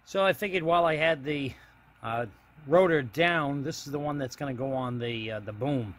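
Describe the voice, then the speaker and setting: A man's voice: medium pitch, moderate pace, a bit bright. A man giving a plain-spoken, casual step-by-step narration of a hands-on DIY project as he films it. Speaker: DIY hobbyist video host.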